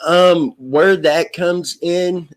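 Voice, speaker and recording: A man from a search and recovery team on a live stream, explaining things in a slow, deliberate, measured way. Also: high-pitched, steady tone. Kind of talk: casual live-stream discussion.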